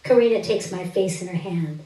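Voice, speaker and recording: A woman giving an expressive, deliberate reading of first-person literary fiction on stage, with drawn-out phrases. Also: on the low side. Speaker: reader of a short story on stage. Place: indoor stage, live reading. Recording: roomy stage recording, distant microphone.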